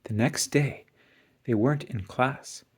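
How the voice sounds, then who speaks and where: A storybook reader giving an expressive children's read-aloud narration. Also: medium pitch, slow pace, husky.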